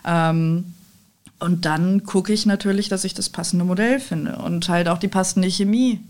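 A woman's voice: lowish voice, normal speed, a little mellow. A woman from the Rhineland, talking candidly as an interview guest with self-deprecating humour. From Rhineland, Germany. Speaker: podcast guest, technical sales professional. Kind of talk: conversational interview, telling anecdotes.

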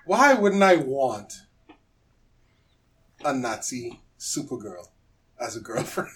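A man's voice: mid-range voice, sing-song, slow, a bit bright. A man co-hosting a podcast with casual banter in a jokey, theatrical manner. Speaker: podcast co-host.

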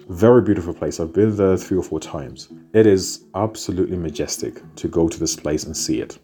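A man's voice: deep voice, warm tone. A man born in Zimbabwe and raised in the United Kingdom, explaining content strategy in a talk, stacking repeated phrases for emphasis. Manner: repetitive, building lists for emphasis. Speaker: content marketing speaker.

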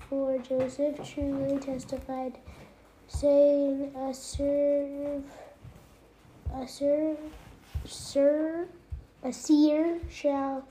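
High-voiced child reading slowly, bright tone. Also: rough recording. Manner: halting, sounding out words. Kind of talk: halting scripture reading aloud. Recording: faint background noise.

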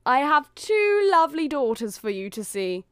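A woman's voice: high-pitched, big pitch swings, moderate pace, no echo, studio quality, voice pushed up. A woman voicing a bossy, pushy stepmother in a fairytale reading. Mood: gushing.

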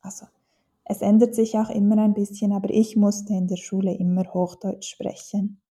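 A woman's voice: medium pitch, flat delivery, normal speed, mellow, breathy edge. A woman from German-speaking Switzerland with a Swiss accent, a dialect teacher answering interview questions thoughtfully, with many fillers and restarts.

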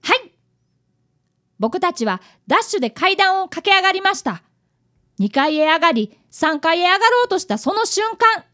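Radio drama kid sidekick, voiced by a woman: earnest, polite boy apprentice detective, very high and animated. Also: moderate pace, exaggerated voice. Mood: earnest, surprised. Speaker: cast member of a community radio show. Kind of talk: radio drama dialogue and narration.

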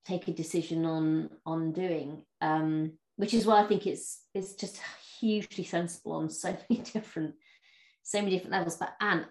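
A woman hosting an interview podcast, with a fairly low voice. She talks in a chatty, easy way, full of fillers and restarts. The room around her sounds echoey. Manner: chatty, warm laughs, many fillers and restarts. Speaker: podcast host. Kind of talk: interview conversation.

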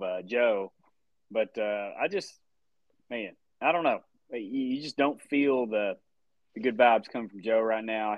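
A man's voice: medium pitch, no room sound, lo-fi sound. A man with a Southern accent co-hosting a sports podcast in a laid-back, joking drawl, often repeating his phrases. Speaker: podcast co-host. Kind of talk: casual sports chat.

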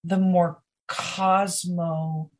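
A woman guest on a podcast, talking slowly, emphatically and intimately, with pauses. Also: fairly low pitch, flat delivery. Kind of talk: conversational podcast interview.

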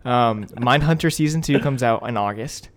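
A man's voice: medium pitch, moderate pace. A young man co-hosting a podcast, talking casually with slangy, opinionated remarks. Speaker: podcast co-host. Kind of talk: casual chat.

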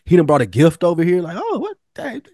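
A man's voice: high-pitched, wildly swooping pitch, fast, mellow, no echo, studio quality. A man co-hosting a casual TV recap podcast, bantering in slang with some swearing. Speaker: podcast co-host.

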